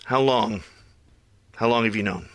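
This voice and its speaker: An actor playing a sardonic, middle-aged superhero in an audio drama. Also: male voice, fairly low pitch, a little slow, natural voice. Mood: sarcastic, weary. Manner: sardonic, incredulous. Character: exasperated, sarcastic aging male superhero facing decline.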